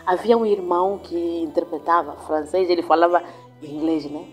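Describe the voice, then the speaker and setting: A woman pastor whose first language is Swahili, giving an earnest, reflective testimony in an interview, pausing and restarting as she goes. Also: fairly low pitch, fairly even intonation, faint music behind.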